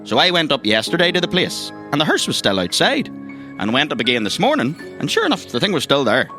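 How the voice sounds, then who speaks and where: Chatty northern irish boss guy briefing recruits, bright lively voice, rambles on, audio comedy. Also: a little high, a little fast, faint music behind, full character voice. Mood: chatty, eager. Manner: rambling, colloquial, hesitant. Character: chatty, thirsty male boss of an odd investigators' agency. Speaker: mission giver briefing recruits. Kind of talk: comedic audio drama dialogue.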